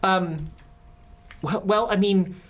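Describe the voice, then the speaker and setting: A man's voice: sits high, takes it slowly. A man running a tabletop game as game master, in a chatty, laughing way with lots of fillers.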